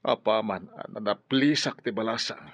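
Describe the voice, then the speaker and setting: A man's voice: lowish voice, moderate pace, fairly bright tone, no room sound. A man playing an anxious, well-meaning, troubled man in a radio drama. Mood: worried. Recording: dull, dry studio sound.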